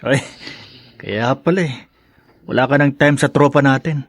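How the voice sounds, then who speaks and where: A man's voice: mid-range voice, normal speed, dry recording, studio quality, natural voice. A man playing a carefree, grumbling young buddy in a Filipino audio drama, teasing and complaining.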